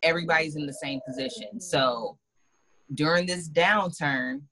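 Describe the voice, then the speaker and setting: A woman talks earnestly about her own business in a casual interview. Her tone is bright and pressing, and she is reflective as she speaks. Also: low-pitched, normal speed, a little hiss or hum, low-quality recording. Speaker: guest, business owner.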